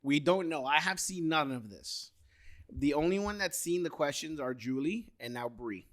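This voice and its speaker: A man's voice: a little high, lively intonation, moderate pace. A man in his thirties co-hosting a podcast, teasing his friends in casual, profane banter. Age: 30s. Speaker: podcast co-host.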